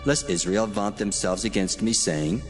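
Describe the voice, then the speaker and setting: A commanding, almighty divine male voice played by a man speaks in a solemn, deliberate way in a scripture audio drama. It is low-pitched, wrapped in long reverb, with music underneath. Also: natural voice.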